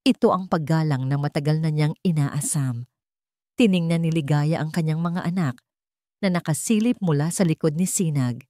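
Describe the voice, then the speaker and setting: A woman reading a scripted dramatic story as narrator, with measured, deliberate phrasing. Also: low voice, mellow, dry recording, clean studio sound.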